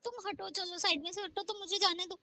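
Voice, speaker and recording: A woman's voice: very high voice, fairly even intonation, a little fast, pushed and bright, no echo, exaggerated voice. A woman playing a whiny, petulant young wife complaining of pain in a casual couple skit. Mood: fretful.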